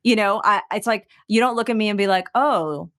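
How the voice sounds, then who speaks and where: A woman from the United States, a singer-songwriter guest in a conversational interview, talking in an animated way as she searches for her words. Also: mid-range voice, brisk. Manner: animated, searching for words, many fillers and restarts.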